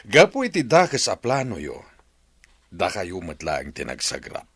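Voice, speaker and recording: Deep, husky, reproachful Filipino man. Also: huge pitch swings, unhurried, fairly bright tone, dry recording, full character voice. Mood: indignant, reproachful. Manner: reproachful, dramatic. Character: indignant, disappointed man confronting schemers he overheard. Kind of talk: radio drama acting.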